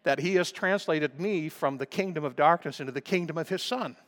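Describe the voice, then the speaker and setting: A man preaching a sermon with emphatic delivery, often clearing his throat, his voice a little crackly. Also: on the high side, fairly quick, fairly bright tone. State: slightly crackly voice. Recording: long reverb of a large hall.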